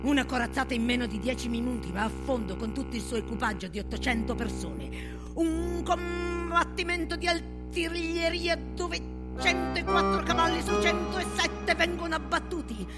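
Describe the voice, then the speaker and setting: An actress performing as an impassioned storyteller of war horrors, declaiming a theatrical monologue in an intense, incantatory way. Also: female voice, very high voice, moderate pace. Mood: urgent, grim. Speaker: stage actress and narrator. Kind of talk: theatrical dramatic monologue set to music. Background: music.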